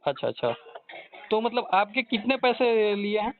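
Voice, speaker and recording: A man's voice: sits high, very animated, normal speed. A man runs an informal phone interview. He probes for detail and echoes answers back. The line sounds narrow and dull. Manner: probing, repeats answers back. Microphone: phone call. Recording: thin narrowband phone call recording.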